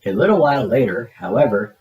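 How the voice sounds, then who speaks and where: A man reading a children's storybook aloud in a theatrical, deliberate storytelling manner. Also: mid-range voice, sing-song, narrow, tinny audio, slight background noise. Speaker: storybook reader. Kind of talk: expressive children's read-aloud narration.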